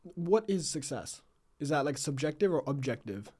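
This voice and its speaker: A man's voice: on the high side, very animated, normal speed, darkish tone, husky. A young man hosting a casual podcast interview, talking informally and reflectively with plenty of "like". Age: young adult. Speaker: podcast host.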